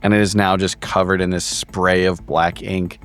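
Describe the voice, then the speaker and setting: A man's voice: low-pitched, flat delivery, normal speed. A man improvising narration as a player in a tabletop role-playing game, analytical and precise with his words.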